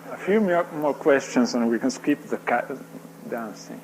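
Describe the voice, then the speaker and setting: A man's voice: medium pitch, animated, moderate pace, darkish tone, slight background noise, low-quality recording. Joking male speaker, native Swedish speaker. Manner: joking, self-deprecating, casual. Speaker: conference speaker. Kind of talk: conference Q&A. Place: conference hall.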